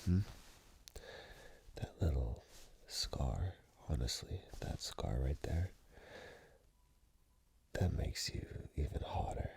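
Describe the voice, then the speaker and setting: A man in a soft, unhurried, breathy ASMR roleplay, half-whispering close to the microphone as a flirtatious, playful romantic partner. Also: a bass voice, animated. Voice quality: breathy, gravelly.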